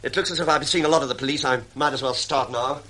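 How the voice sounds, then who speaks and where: An actor playing an anxious, polite gentleman in a British radio drama, hesitant and nervous in his speech. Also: mid-range voice, racing, heavy background noise, close to an everyday voice. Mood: polite, uneasy. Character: anxious, hesitant gentleman, murdered woman's son.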